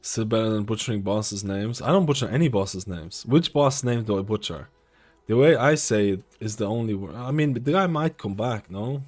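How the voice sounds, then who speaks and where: Low-voiced male game streamer, casual and opinionated. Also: lively intonation, normal speed, darkish tone, faint music behind. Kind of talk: casual live gaming commentary.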